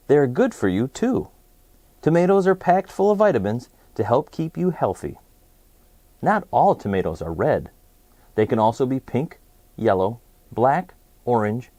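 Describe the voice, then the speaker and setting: A man hosting a children's read-aloud, presenting an educational book in clear, simple phrases for young listeners. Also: medium pitch, mellow, no room sound.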